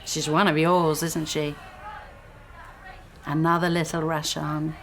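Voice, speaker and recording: A woman's voice: sits low, flat delivery, slow, slight background noise. An actress playing a bitter, intense young woman, a scorned lover pressing her point insistently in a naturalistic radio drama. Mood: bitter, needling.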